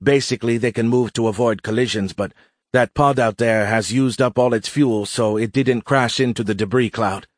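A man's voice: mid-range voice, fairly even intonation, normal speed, no echo, clean studio sound, close to an everyday voice. A man voicing a matter-of-fact, forthright woman pilot in an audiobook, explaining things earnestly to a commander.

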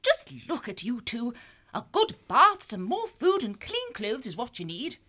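A woman's voice: a little high, huge pitch swings, bright, forceful tone, exaggerated voice. A woman playing a bossy, good-hearted animal voyager with old-fashioned phrasing in a fantasy audiobook. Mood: brisk, motherly. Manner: brisk scolding, jolly old-fashioned phrasing.

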